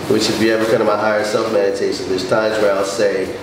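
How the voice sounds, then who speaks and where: A man leading a spiritual class in an unhurried, gentle way, with soft pauses as he talks to the group. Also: on the low side, flat delivery. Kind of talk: relaxed teaching talk. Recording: echoey room, distant mic, background hum.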